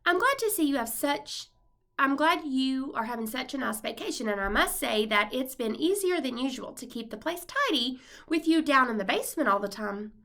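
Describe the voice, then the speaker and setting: Woman reading as a high-voiced, fretful storybook wife. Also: lively intonation, moderate pace, sharp tone, heavily acted. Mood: worried.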